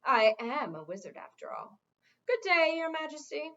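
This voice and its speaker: A woman's voice: sits high, sing-song, normal speed, fairly bright tone, voice pushed up, heavily acted. A woman voicing a smugly courteous male wizard in a storybook, speaking with formal, excessive politeness. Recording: dull, lo-fi sound.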